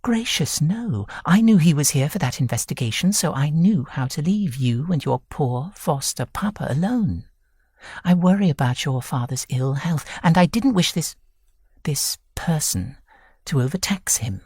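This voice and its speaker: Man voicing a quavering, genteel elderly mother, pitched up. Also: expressive, normal speed, a little mellow, husky, no echo, clean studio sound. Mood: shocked, protective. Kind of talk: audiobook character voice.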